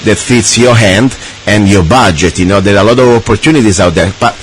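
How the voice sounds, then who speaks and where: A man's voice: fairly low pitch, brisk, slight background noise, poor audio. A man hosting a radio talk show, chatting casually with many restarts and fillers.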